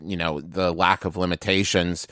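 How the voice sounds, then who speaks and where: A man's voice: low voice, flat delivery, normal speed, sharp tone, no echo, clean studio sound. A man who is a tabletop game player, improvising character backstory in a casual, explanatory, conversational way full of filler words.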